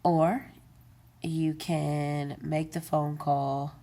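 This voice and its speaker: Slow, low-voiced woman demoing a gadget. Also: level tone, mellow. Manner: unscripted, with many fillers and self-corrections. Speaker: tech video presenter. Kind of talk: casual how-to demonstration.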